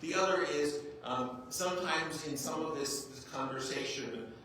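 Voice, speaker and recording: A rabbi joking with a crowd in an echoey hall. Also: male voice, fairly high pitch, a little slow, bright, forceful tone. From United States. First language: English. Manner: conversational, self-deprecating humor, frequent "right?" check-ins. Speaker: rabbi giving a community talk. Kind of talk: informal public talk to a group. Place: large echoey room with an audience. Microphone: clip-on mic, heard from a distance. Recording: very echoey hall, distant mic, faint noise.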